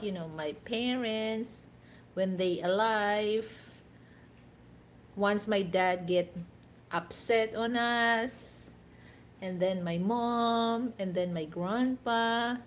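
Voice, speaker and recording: A Filipino woman talks slowly and casually to her livestream viewers, looping back with frequent "you know" fillers. Her pitch sits in an ordinary middle range. A faint hiss of noise runs behind her. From Philippines. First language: a Philippine language other than Tagalog. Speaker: livestream host.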